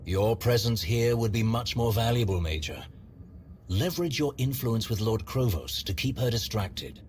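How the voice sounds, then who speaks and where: An actor voicing a calculating strategist in a video game, measured, formal and precise in his speech. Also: on the low side, animated, slight background noise, close to an everyday voice. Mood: coolly scheming.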